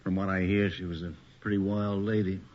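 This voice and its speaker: An actor playing a middle-aged, world-weary, skeptical police detective in a radio horror drama, with a dry, sardonic delivery. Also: male voice, low voice, little pitch movement, a little fast, natural voice. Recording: dull, noisy old radio recording.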